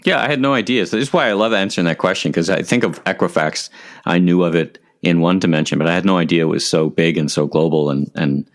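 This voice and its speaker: A man's voice: sits low, quick pace. A man hosting a podcast interview, chatty and friendly, with frequent filler words. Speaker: podcast host.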